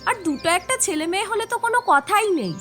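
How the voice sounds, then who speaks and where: A woman's voice: very high voice, lively intonation, fairly quick, fairly bright tone, faint music behind. A woman playing a playful, practical young daughter-in-law in an audio drama, with a teasing manner.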